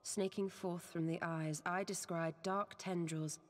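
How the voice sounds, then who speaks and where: A woman voice-acting a grave, stately sage in a fantasy game, speaking solemnly and deliberately in archaic formal phrases. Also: fairly low pitch, fairly even intonation, big-room sound, faint music behind, close to an everyday voice.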